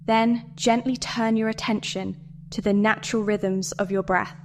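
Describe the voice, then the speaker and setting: A woman delivering a scripted guided meditation and dramatic monologue in a measured, deliberate way with pauses. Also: medium pitch, steady tone, a little hiss or hum. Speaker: meditation narrator.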